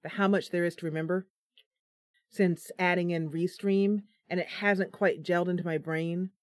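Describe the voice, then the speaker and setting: A woman's voice: on the low side, fairly even intonation, normal speed, no room sound, studio quality. A tired woman streaming live from her home computer, chatting casually, laughing at her own slip-ups. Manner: chatty, giggly, self-deprecating.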